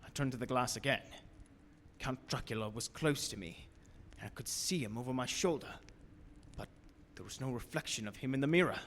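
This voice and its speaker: An actor playing an anxious, trapped gentleman in a gothic radio drama, earnest and dramatic in his delivery. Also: medium pitch, fairly quick, slight background noise, natural voice. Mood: haunted narration. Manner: earnest, dramatic, increasingly fearful. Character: Jonathan Harker, anxious trapped English gentleman guest. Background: loud music.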